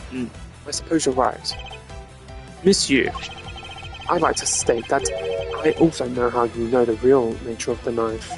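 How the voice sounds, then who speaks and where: A woman voicing a proud, determined young male prosecutor in a video game, speaking in a measured, formal, accusing way. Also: rumbling low, fairly even intonation, music in the background, pitched below the performer's own voice. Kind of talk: game character voice acting.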